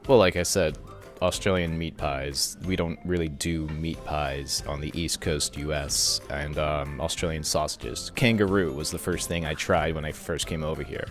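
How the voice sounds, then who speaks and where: A young man from Philadelphia with a Philadelphia accent, a student speaking in a relaxed, casual way. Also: extremely low pitch, normal speed, soft background music. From United States. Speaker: interviewee, student.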